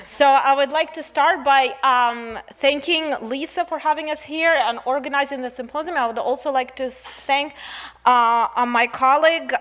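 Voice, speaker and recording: High, bright-voiced woman with a Russian accent. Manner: conversational, uses rhetorical questions and tag "right?". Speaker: researcher presenting at a conference. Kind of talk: conference lecture.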